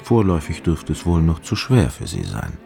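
An actor playing a patronizing older mathematics professor in a radio play, with a husky, gravelly voice and a pompous, hesitant, lecturing way of talking. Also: male voice, very deep voice, wildly swooping pitch, normal speed, loud music behind the voice, exaggerated voice. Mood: condescending. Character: patronizing, evasive older male mathematics professor around 1900.

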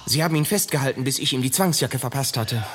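An actor in a German radio drama playing a deferential asylum attendant who reports respectfully and a little hesitantly. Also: male voice, mid-range voice, fast talker, natural voice. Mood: hesitant, dutiful. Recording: clear background noise with clicks.

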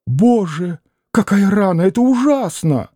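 A man doing a gushing, fluttering high-voiced lady. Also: big pitch swings, a little slow, mellow, dry recording, studio quality. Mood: alarmed. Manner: gushing, theatrical, breathless exclamations. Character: fussy, excitable middle-aged noble mother. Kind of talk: audiobook reading of a classic novel.

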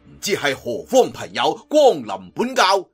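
Guy voicing a dignified cantonese kung fu clan chief, formal, loud, bit husky. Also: sits high, wildly swooping pitch, a little slow, voice pushed up, heavily acted. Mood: stern, courteous. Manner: projected, courteous, formal. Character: stately, formal martial arts sect leader.

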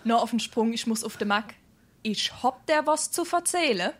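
Woman acting a lively high-voiced Hessian girlfriend, Evche. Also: moderate pace. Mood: brisk, confiding. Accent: Hessian, Darmstadt dialect. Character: Evche, brisk loyal young gossiping girlfriend. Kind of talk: dialect stage comedy acting.

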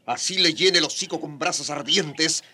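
Man, gloating cruel Spanish soldier, high raspy piercing voice, radio drama, faint music. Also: normal speed, sharp tone. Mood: scornful. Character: sneering sadistic male Spanish soldier who gloats cruelly.

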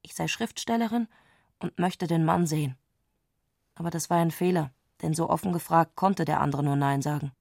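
An actress playing an eccentric, excitable woman speaking an intimate letter in intense, abrupt short sentences, in a literary radio play. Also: sits low, normal speed, gravelly, no echo, clean studio sound. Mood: eager, confiding.

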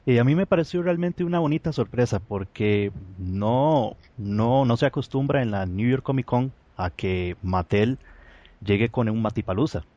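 Man, mellow voice, earnest, dry dull call sound. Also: medium pitch, normal speed, dry recording. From San José, Costa Rica. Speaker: podcast guest.

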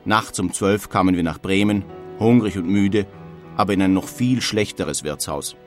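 A man's voice: sits low, moderate pace, faint music behind, natural voice. An actor playing a plain-spoken German emigrant who earnestly reads his old-fashioned letter home in a radio feature. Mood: earnest recounting. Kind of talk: dramatised reading of historical letters.

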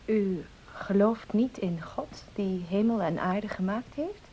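An actress playing a polite, devout young niece in a radio play. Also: female voice, medium pitch, slow, highs cut off, close to an everyday voice. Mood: polite, earnest. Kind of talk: Dutch radio play acting. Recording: hissy old radio recording.

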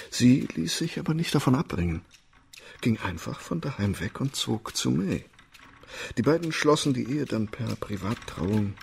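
A learned family physician of ancient China in a radio drama. His low voice is gravelly and very husky, and he explains things formally and discreetly. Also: exaggerated voice. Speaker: actor in a radio crime drama. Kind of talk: radio play acting.

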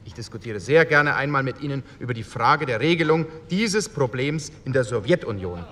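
An actor playing a combative, formal male parliamentarian in a radio drama, with a sharp-tongued debating manner. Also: medium pitch, expressive, moderate pace.

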